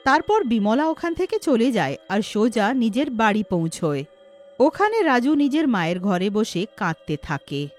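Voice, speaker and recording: A woman's voice: a little high, sing-song, normal speed, darkish tone, faint music behind. A woman narrating a scripted horror story as storyteller.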